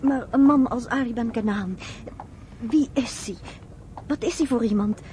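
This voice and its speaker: A fairly high-voiced woman played by an actress in a radio play, guarded and torn. She speaks in a hesitant, searching way, over faint background noise from an old recording. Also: a little slow, warm tone, close to an everyday voice. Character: conflicted, guarded American woman, an outsider. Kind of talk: Dutch radio play acting.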